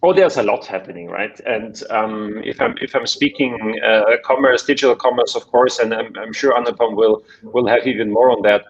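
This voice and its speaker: A man with a German accent on an online panel, talking in halting phrases full of restarts and tag questions. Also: fairly low pitch, husky, dull audio. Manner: hesitant, many restarts and "right?" tags. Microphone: video call.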